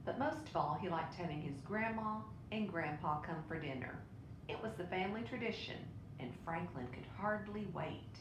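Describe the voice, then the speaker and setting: A woman reading a children's picture book aloud in an expressive way. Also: moderate pace. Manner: expressive, with brief asides to listeners. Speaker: storybook reader. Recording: roomy echo, clear low rumbling noise.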